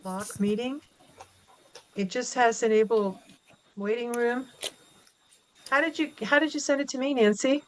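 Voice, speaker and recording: A woman's voice: a little high, measured pace, long pauses, slight background noise, poor audio. A woman in a virtual meeting, chattily reading out menu options as she tries to find a setting. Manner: chatty, reads menu items aloud. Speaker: commission member, temporary meeting host.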